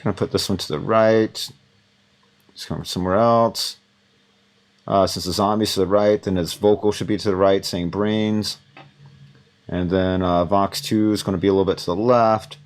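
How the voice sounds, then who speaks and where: A man teaching audio mixing, thinking aloud in a relaxed, rambling way with many fillers. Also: sits low, moderate pace. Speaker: audio instructor.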